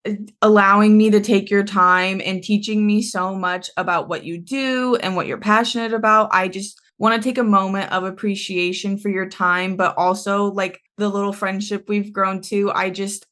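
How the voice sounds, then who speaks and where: A woman's voice: mid-range voice, fairly even intonation, normal speed, fairly bright tone. Woman podcast host, easygoing and agreeable, casual chat.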